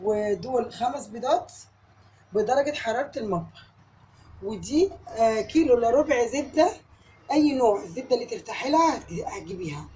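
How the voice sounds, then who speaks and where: A woman's voice: on the high side, animated, a little fast, dark tone, slight background noise, poor audio. A woman with an Egyptian accent and a voice tired from a cold, chatting step by step to the viewer as she cooks at home. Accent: Egyptian Arabic. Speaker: home cook. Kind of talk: cooking tutorial. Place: home kitchen.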